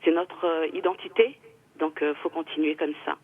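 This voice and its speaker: A woman in her thirties calling in to a radio show, talking earnestly and hesitantly, with many pauses. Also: deep voice, steady tone, slightly rough. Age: 30s. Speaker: caller. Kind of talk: radio call-in comment. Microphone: telephone. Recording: phone line.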